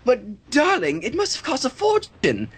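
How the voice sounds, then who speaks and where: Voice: high voice, huge pitch swings, unhurried, slightly rough, pitched above the performer's own voice, exaggerated voice. A reader voicing a refined, dramatic female cartoon unicorn with a theatrical, affected manner. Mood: astonished, grateful. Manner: theatrical, affected, says darling.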